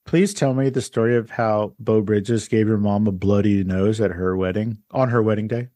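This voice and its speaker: Joking podcast host, dry close mic. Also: male voice, fairly low pitch, fairly even intonation, moderate pace, mellow, dry recording. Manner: friendly, joking interviewer. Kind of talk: casual interview chat.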